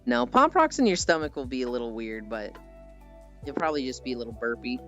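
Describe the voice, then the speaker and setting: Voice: mid-range voice, sing-song, fairly quick, a bit bright. Streamer just rambling at chat, teasing and swearing a bit, muffled lofi mic, music in the background. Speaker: livestreamer, host. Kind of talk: casual livestream chat, reading and answering chat messages. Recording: dull lo-fi audio with music.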